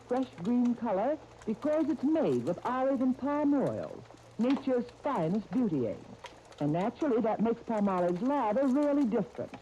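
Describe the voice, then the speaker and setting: A woman's voice: fairly high pitch, mellow. A woman announcer reading a persuasive 1930s radio advertisement, coaxing and intimate in her direct address. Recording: dull, noisy 1939 radio disc.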